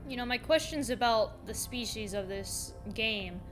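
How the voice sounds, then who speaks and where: A woman's voice: fairly high pitch, a little slow. Blunt woman reacting over game music. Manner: blunt, sweary, wry. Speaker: reaction video host. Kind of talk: casual off-the-cuff reaction commentary. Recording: game music clearly behind.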